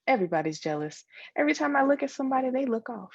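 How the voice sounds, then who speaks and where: Woman acting a husky, needy young girl. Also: high voice, big pitch swings, racing, no echo, lo-fi sound, pitched above the performer's own voice, heavily acted. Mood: proud, insistent. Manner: childlike, insistent, questioning. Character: proud, needy young girl craving reassurance.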